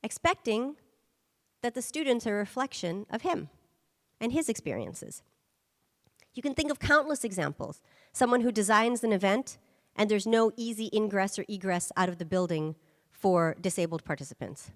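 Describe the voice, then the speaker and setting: Woman speaker, mid-pitched and emphatic, giving a talk in a reverberant hall. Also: animated, normal speed. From United States. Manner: emphatic, with rhetorical "right?" check-ins. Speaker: guest speaker. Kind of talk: conference presentation.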